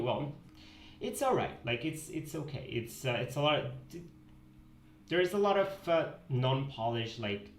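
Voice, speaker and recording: A man's voice: medium pitch, echoey room, slight background noise. A man in his twenties with an Italian accent, chatting casually on a live stream while drawing, wandering and correcting himself mid-sentence. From Italy. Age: 20s. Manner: rambling, self-correcting, reads chat aloud. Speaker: pixel art streamer. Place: home desk room. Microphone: headset.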